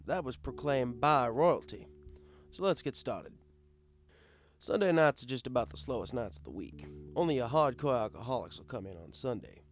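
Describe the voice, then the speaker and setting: A man narrating a comic first-person story in a wry, expressive, conversational storyteller's way. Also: mid-range voice. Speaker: audiobook narrator.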